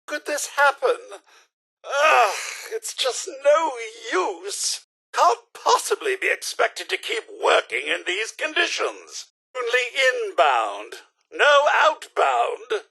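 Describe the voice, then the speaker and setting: A man's voice: very high-pitched, wildly swooping pitch, slow pace, vocal fry, sharp tone, husky, exaggerated voice, low-quality recording. A man with a British accent voicing a fussy, anxious and polite robot butler in a video game, formal and theatrically emotive. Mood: flustered, despairing. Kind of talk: video game character acting.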